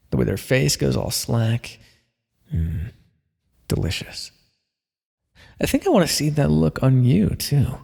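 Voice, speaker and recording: A man playing a smug, playful supervillain mind controller in an ASMR roleplay, coaxing the listener with theatrical, drawn-out words. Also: mid-range voice, creaky, darkish tone, slightly rough, heavily acted. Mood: gloating, pleased. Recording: long reverberant echo.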